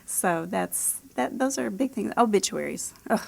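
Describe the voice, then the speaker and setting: A woman's voice: medium pitch, animated, measured pace, vocal fry. An American woman speaking casually and informally as an author being interviewed.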